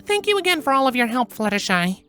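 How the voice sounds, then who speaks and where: Female winged unicorn acted by a man, storybook: very high pitch, poised, kind, a touch wry. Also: big pitch swings, quick pace, pushed and bright, faint music behind, heavily acted. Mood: grateful.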